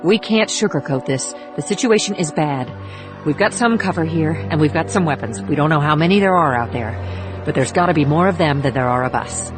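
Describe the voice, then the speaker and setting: A woman's voice: low-pitched, lively intonation, brisk. A woman playing a tough, level-headed government agent in a thriller audiobook, terse and commanding. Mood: firm, reassuring. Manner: terse, commanding, plain-spoken. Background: music under the dialogue.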